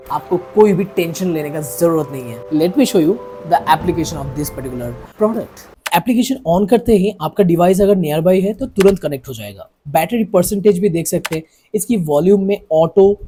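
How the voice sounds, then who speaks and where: A man's voice: on the high side, normal speed, hardly any pauses, mellow. An Indian man talks through a product review in a casual, explanatory vlog style. He slips English phrases into his sentences as he goes. Faint music plays behind his voice. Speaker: vlogger reviewing motorcycle gear.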